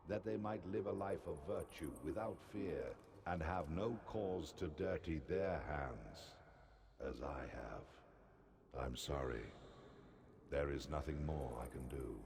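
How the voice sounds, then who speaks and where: A very low-voiced ancient god from a fantasy game, grave and commanding. He speaks formally and deliberately, weighed down by regret. Clear ambient noise sits behind him. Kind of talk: scripted fantasy game acting. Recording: noticeable background ambience noise.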